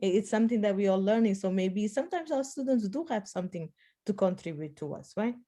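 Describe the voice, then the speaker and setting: A woman who grew up in Portugal and Spain, Portuguese her first tongue, talking on a video call. Her voice is mellow and warm, with a pitch in the middle range. She laughs through her story in an animated way. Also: fast talker. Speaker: political science professor. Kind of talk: workshop discussion over video call.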